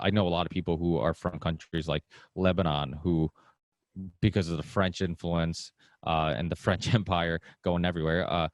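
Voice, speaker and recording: Deep-voiced man, native Portuguese speaker. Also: no room sound, clean studio sound. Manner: meandering, restarts sentences often. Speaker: podcast guest. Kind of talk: casual conversation.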